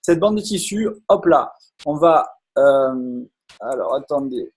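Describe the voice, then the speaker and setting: A man giving an enthusiastic live online sales pitch, full of hesitations and repeated words. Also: animated, slow, a little mellow, slightly rough.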